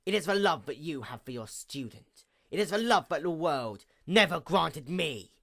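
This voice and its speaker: A performer voicing a bitter, vengeful pony goddess of the night in a grandiose, formal dramatic monologue that moves between outbursts and hushed menace. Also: medium pitch, animated, normal speed, creaky. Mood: cold, gloating. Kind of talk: dramatic reading, theatrical villain monologue.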